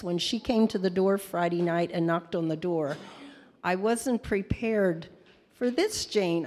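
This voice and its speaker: Mature woman, church member as informal host, halting unscripted delivery, slightly low voice, long reverb. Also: a little mellow. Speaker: church member introducing a guest speaker. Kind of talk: informal spoken introduction and prayer. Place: church hall, speaking to congregation. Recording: echoey hall with long reverb.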